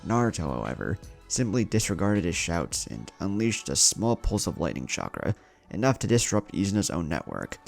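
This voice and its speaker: A man's voice: low voice, big pitch swings, normal speed, gravelly, soft background music. A man reading a story as narrator, in a dramatic scripted narration style. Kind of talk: dramatic narrated fan fiction reading with character dialogue.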